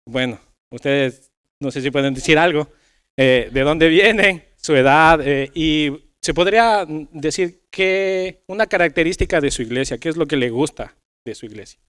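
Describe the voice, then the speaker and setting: A man's voice: on the high side, moderate pace, a bit bright, clean tone. A man hosting a church youth podcast in a conversational style. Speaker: podcast host.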